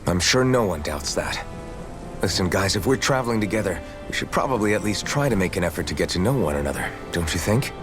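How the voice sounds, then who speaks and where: A man playing a steady, supportive young swordsman in a video game, plain-spoken and reassuring. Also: deep voice, fast talker. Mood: easygoing, sincere. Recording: music in background. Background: background music.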